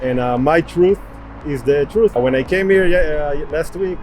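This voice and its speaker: A man's voice: a little high, measured pace, over music. A man with an Israeli accent, conversational and inquisitive, hosting and narrating a travel vlog. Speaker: host.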